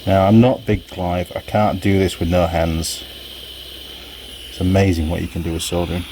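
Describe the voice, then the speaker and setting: A man with a husky voice giving casual hands-on workshop commentary, muttering to himself and his viewers as he works. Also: sits low, little pitch movement, normal speed, warm tone, a little hiss or hum. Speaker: vlogger, hobby mechanic.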